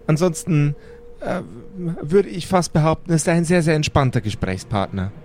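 A man playing a fussy, formally polite zombie official in a tabletop audio play, speaking haltingly and deferentially. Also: fairly high pitch, sing-song, darkish tone, a little hiss or hum.

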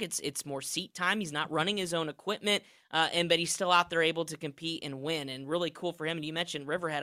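A man hosts a motorsport talk show from a studio. His voice is bright and crisp. He keeps a chatty, upbeat flow with casual restarts. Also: a little high. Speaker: racing podcast host.

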